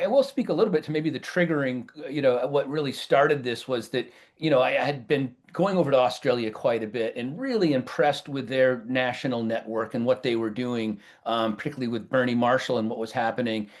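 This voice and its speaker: An American man speaking as a professor on a webinar panel, halting often with fillers and restarts over a video call. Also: medium pitch. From United States.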